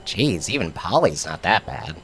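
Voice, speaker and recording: Man as a very deep, grumpy alien bug. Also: very animated, normal speed, gravelly, fairly bright tone, slight background noise, heavily acted. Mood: sardonic. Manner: dry, grudging wisecracks. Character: grumpy sarcastic alien bug crew member. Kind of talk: comedic audio drama acting.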